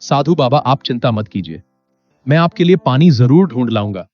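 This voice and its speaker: Male villager, played by a man, medium pitch, honest, polite, helpful, cartoon moral tale, muffled audio. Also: expressive, normal speed, darkish tone, natural voice. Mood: helpful, concerned. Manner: earnest, polite. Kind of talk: animated Hindi moral story acting. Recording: dull lo-fi audio with music behind.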